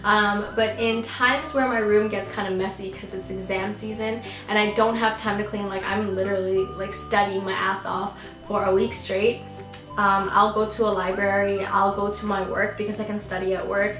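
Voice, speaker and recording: A woman's voice: medium pitch, level tone, moderate pace, echoey room, over music. A young woman from the Philippines who grew up in Canada, answering viewer questions in a chatty, giggly way full of "like"s and restarts. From Philippines, raised in Canada. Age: young adult. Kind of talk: casual chat.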